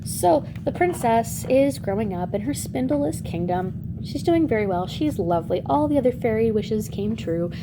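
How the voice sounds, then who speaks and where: Casual female storyteller, slightly high register, wry and chatty, comic fairy-tale retelling, noticeably noisy recording. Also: animated, normal speed, hardly any pauses.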